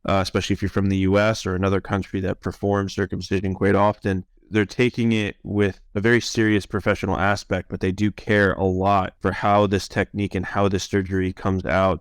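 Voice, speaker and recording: A man answering questions as a science officer on a conference call, explaining in a measured, conversational way. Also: low-pitched, little pitch movement, no room sound, studio quality.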